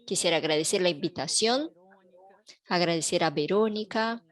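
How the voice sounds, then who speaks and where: A woman interpreting a panel talk in a measured, even way over a video call. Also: lowish voice. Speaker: simultaneous interpreter.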